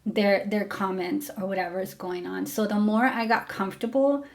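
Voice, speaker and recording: A woman's voice: medium pitch, steady tone, normal speed, darkish tone. A young woman from Mexico talking candidly to camera, frank and full of fillers, about her time as a driver. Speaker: former rideshare driver. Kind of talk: casual storytelling to camera.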